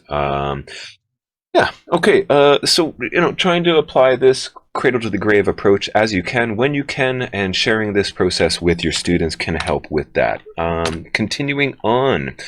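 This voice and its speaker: A man with a deep bass voice, speaking as a teacher. His delivery is conversational and deliberate, with an encouraging manner as he thinks his points through aloud. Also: a little hiss or hum.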